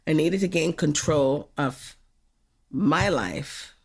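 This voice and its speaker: Older woman, from Jamaica, low voice, husky and gravelly, candid, laughing often. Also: slow. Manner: frank, laughing, reflective. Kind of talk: candid podcast conversation.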